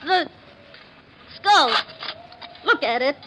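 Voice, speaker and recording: A woman's voice: sits high, animated, drawn-out words, heavily acted. A woman acting in a vintage radio horror drama as a cold, scheming sister-in-law. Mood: panicked. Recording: noisy vintage radio recording.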